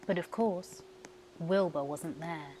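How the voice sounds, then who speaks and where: A woman's voice: lowish voice, takes it slowly, warm tone, husky. A woman professional storyteller narrating a children's story expressively, leaning into the sound words. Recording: music behind the voice. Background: music.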